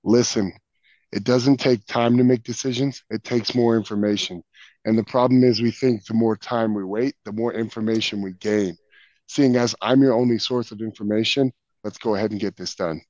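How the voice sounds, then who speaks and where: Hoarse low-voiced man, dull dry line. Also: fairly even intonation, normal speed, darkish tone, dry recording. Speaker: sales trainee. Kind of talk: sales role-play. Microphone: video call.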